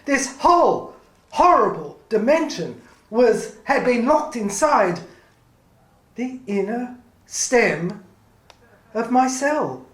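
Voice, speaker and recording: A woman delivering a dramatic spoken-word monologue in halting, fragmented phrases that often repeat. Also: on the high side, big pitch swings. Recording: echoey room.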